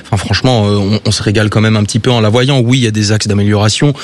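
Steady male radio football commentator. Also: fairly low pitch, rapid-fire. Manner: steady, casual, slight stumbles. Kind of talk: radio panel analysis.

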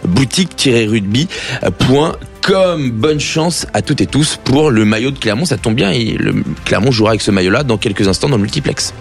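A man's voice: medium pitch, lively intonation, moderate pace, vocal fry, faint music behind. A man delivering rugby transfer and match news on live radio, then reading out a contest promotion.

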